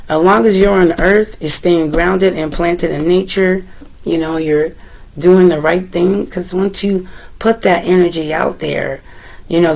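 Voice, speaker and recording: A woman tarot reader with a deep, slightly rough voice, still getting over a cold, talking gently and meandering as she speaks to the viewer and reads aloud from a guidebook. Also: low-quality recording. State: recovering from a cold. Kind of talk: casual tarot reading, partly read aloud from a guidebook.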